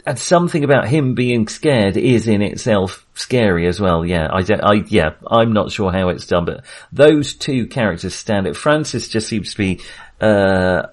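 A man with a fairly low voice takes part in a casual discussion of film. He speaks haltingly, searching for the right word and often starting a phrase again in new terms. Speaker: film podcast host.

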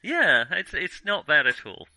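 A man's voice: high voice, wildly swooping pitch, a little slow, bright, forceful tone, no echo. A British man co-hosting a podcast, chatting casually about films in a reactive way with frequent agreeing interjections. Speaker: podcast co-host.

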